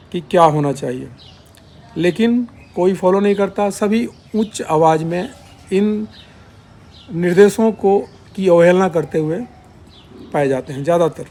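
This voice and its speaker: High-pitched man, halting explainer. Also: darkish tone. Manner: halting delivery in short broken phrases. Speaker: YouTube channel host. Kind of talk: spoken explainer, point by point.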